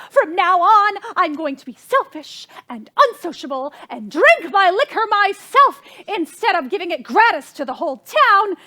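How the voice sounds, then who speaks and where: A very high, bright voice: a woman acting an excitable society hostess in a stage farce. She is melodramatic and exclamatory, swooping widely in pitch and repeating her phrases as she gets overwrought. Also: heavily acted. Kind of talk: theatrical stage comedy acting.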